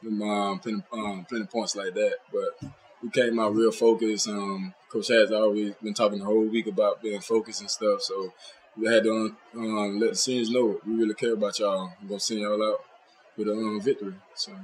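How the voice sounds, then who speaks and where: Young college player talking low and easy at a press table, bit of room hum. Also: male voice, normal speed, poor audio. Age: young adult. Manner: relaxed, polite, many ums and you knows. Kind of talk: post-game interview answers.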